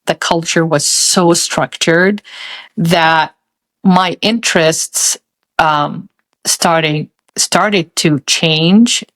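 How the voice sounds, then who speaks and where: Slow, low-voiced reflective woman. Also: fairly bright tone. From Saudi Arabia. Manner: thoughtful, halting, many pauses and fillers. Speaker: podcast host. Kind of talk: reflective personal storytelling.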